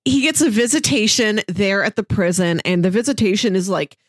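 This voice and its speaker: A woman's voice: mid-range voice, brisk, dry recording. A woman co-hosting a podcast, talking in a casual, analytical way. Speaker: podcast co-host.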